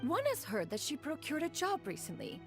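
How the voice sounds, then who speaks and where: A woman's voice: sits high, wildly swooping pitch, normal speed, faint music behind. A woman voicing a proud, ancient female bird spirit who speaks in a lofty, archaic way and calls herself "one". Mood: haughty. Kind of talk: video game voice acting.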